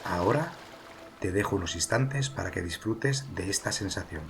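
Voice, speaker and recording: A man with a fairly low voice leads a guided meditation. He speaks in a calm, soothing manner, leaving pauses between slow phrases. Soft sea waves wash faintly behind his voice. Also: a little hiss or hum. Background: sea waves, water ambience.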